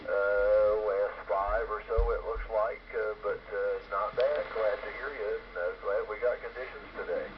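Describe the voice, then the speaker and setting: Casting: American male ham operator, lowish voice, easygoing and halting, through a muffled radio link with heavy static. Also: steady tone. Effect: FM shortwave radio transmission. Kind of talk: casual radio chat. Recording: muffled, noisy fading ham radio signal.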